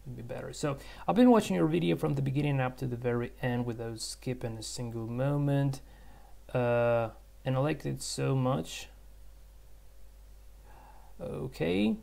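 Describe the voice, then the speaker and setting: A man whose first language is Russian, teaching English by thinking aloud in a halting way, with many fillers and restarts. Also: mid-range voice, vocal fry, warm tone. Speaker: English tutor. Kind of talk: screen-recorded language lesson.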